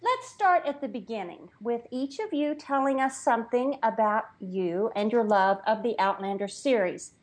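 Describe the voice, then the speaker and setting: A woman hosting an interview, asking her guests expressive questions. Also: fairly high pitch, normal speed, few pauses. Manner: expressive questioner, laughs often. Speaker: host.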